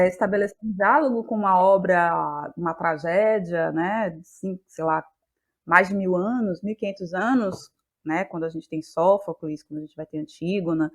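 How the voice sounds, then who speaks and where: A woman's voice: mid-range voice, moderate pace. Female interview guest, Bahian Brazilian accent, mid-range voice, conversational and reflective, circles back on phrases. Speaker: guest, playwright and actress.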